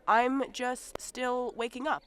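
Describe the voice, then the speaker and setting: A woman playing a hesitant, weary male king in an amateur audio drama, with a halting, stammering delivery. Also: a little high, fairly even intonation, a bit bright. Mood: unsure.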